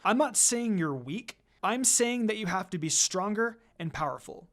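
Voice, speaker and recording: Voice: medium pitch, lively intonation, normal speed, fairly bright tone, natural voice. A reader voicing a guarded, blunt young female ninja who teases and commands in a terse, dry manner. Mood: stern.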